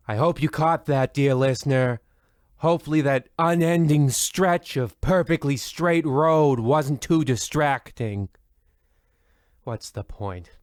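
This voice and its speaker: A man's voice: mid-range voice, no room sound, studio quality, lightly acted. A man playing a weary, formal host of a spooky old-time radio comedy show, with theatrical delivery and dejected asides.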